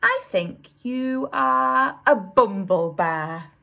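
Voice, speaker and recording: A woman voicing a stately, fair-minded queen bee in a storybook, giving measured pronouncements. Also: on the high side, animated, vocal fry, pushed and bright, heavily acted. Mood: approving.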